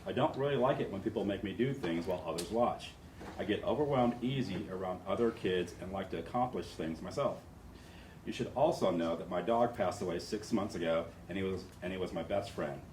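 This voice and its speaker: Distant, low-voiced man reading aloud, roomy. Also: a little fast, a little mellow. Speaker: training participant reading aloud. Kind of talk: reading a first-person character profile aloud. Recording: roomy, distant mic, faint noise.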